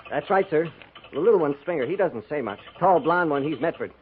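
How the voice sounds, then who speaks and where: Male actor as respectful young corporal, earnest, formal, highish voice, tinny 1950s radio western. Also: animated, a little fast, natural voice. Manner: respectful, formal military reporting. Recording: tinny, faintly noisy 1950s radio broadcast.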